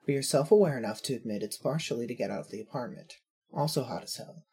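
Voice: mid-range voice, darkish tone, breathy edge. A performer narrating an audio drama as a wry, self-aware inner voice in scripted second person.

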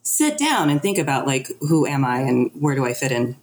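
Low-pitched woman in candid conversation. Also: very animated, normal speed, rough recording. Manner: self-reflective, acts out quoted remarks. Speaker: podcast guest.

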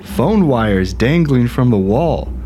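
An actor playing an aggrieved, self-dramatizing ghostwriter in a conversational, wry, indignant audio drama monologue. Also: male voice, mid-range voice, expressive, takes it slowly, noisy background. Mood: aggrieved, suspicious. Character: aggrieved, self-dramatizing male ghostwriter telling his grievances. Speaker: narrator and protagonist.

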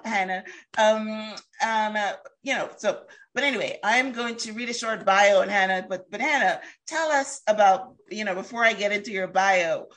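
A woman hosting a casual interview, enthusiastic and quick to laugh, with plenty of fillers. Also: on the high side, a bit bright. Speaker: host.

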